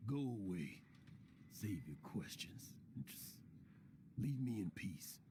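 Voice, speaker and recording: An actor playing a weary, guilt-ridden old drunken adventurer in a dramatic video game scene. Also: male voice, on the low side, very animated, slow, background noise. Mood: irritated. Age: older. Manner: world-weary, brooding, dramatic.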